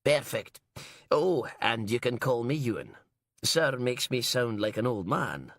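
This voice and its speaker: A man's voice: mid-range voice, lively intonation, measured pace, a bit bright, no echo, studio quality. A man reading an audiobook, voicing an eager, chatty elderly man with a wheezy edge. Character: chatty elderly male hall caretaker, eager.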